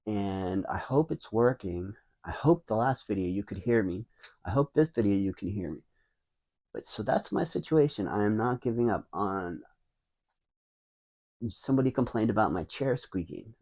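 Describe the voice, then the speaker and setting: Quiet, mumbling man in his fifties. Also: on the low side, animated, normal speed, dull audio. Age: 50s. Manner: rambling, with many restarts. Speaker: vlogger. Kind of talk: casual talking to camera. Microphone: wireless mic into a phone.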